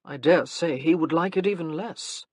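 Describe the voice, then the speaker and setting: A woman's voice: low voice, moderate pace, darkish tone, no room sound. A woman voicing a languid, dryly witty young duke in an audiobook. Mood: sardonic. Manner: drawling, dry wit.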